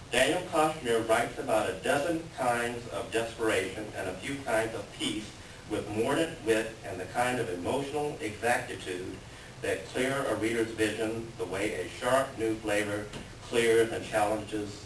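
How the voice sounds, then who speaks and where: A man's lowish voice carries from a distance in an echoey room with clear background noise. He introduces a play reading as its announcer, reading aloud and pausing often.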